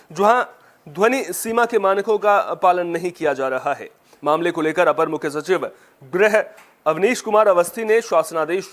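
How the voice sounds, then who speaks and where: A man reading a scripted television news bulletin as an anchor, in a formal, presentational manner. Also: high voice.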